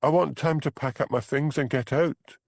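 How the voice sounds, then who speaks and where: A man's voice: steady tone, moderate pace, creaky, a little mellow, dry recording. A man reading an audiobook, voicing an anxious young woman trapped in an unhappy marriage, with a plaintive, pleading manner. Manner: pleading, plaintive, high-pitched. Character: anxious young crofter's wife, trapped and tearful. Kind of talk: audiobook character voice.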